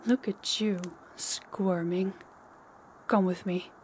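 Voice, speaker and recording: A menacing female vampire, acted by a woman for an intimate audio drama. She speaks in a medium-pitched voice, taunting, possessive and used to being obeyed, slipping toward whispers. There is noticeable noise behind her. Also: fairly even intonation, darkish tone, big-room sound, natural voice. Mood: possessive, gloating. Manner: slow, taunting, commanding, dropping into whispers. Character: possessive, menacing older female vampire, toying with captive huntress. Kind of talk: intimate audio roleplay acting.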